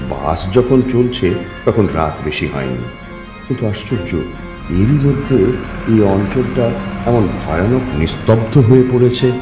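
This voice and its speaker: A low-pitched male storyteller delivers a scary tale in a dramatic, suspenseful way, phrasing it deliberately. His voice carries a strong echo, with music playing underneath. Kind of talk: dramatic first-person ghost story reading. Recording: echoing reverb, music underneath.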